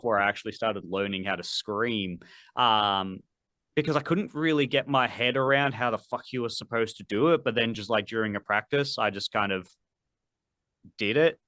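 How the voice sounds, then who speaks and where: A man's voice: lowish voice, sharp tone, no echo. A man in his thirties, a metal vocalist guest on a podcast, chatting casually in a rambling way with lots of fillers and casual swearing. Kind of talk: casual interview chat.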